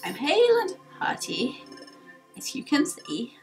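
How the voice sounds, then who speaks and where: A woman's voice: sits high, huge pitch swings, a little slow, faint music behind, voice pushed down, exaggerated voice. Woman doing a faint, proud bird creature. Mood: weak, humiliated. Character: humbled, proud male bird creature, injured second-in-command.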